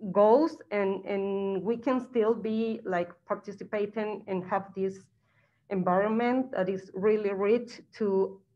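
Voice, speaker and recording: Young woman, student on a masked video call, slow and halting with many fillers, dull lo-fi laptop sound. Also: mid-range voice, darkish tone. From Chile. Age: young adult. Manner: halting, many uhs and restarts, non-native phrasing. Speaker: current graduate student. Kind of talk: answering questions on a video call. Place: bright indoor room, wearing a face mask. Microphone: laptop webcam mic.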